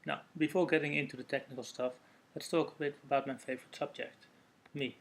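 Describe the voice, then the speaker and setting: A man from the Netherlands with a Dutch accent, giving a recorded technical presentation in a measured, explanatory way. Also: on the high side, fairly even intonation. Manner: measured, explanatory delivery with occasional self-corrections. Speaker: conference speaker.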